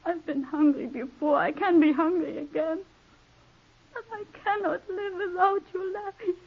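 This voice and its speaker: Lovesick young foreign girl in an old radio play, super high pleading voice, muffled recording. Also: steady tone, moderate pace. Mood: tearful devotion. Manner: earnest, pleading, tender. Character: devoted, pleading young foreign woman in love. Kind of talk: 1940s radio drama acting. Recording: dull 1940s radio broadcast recording.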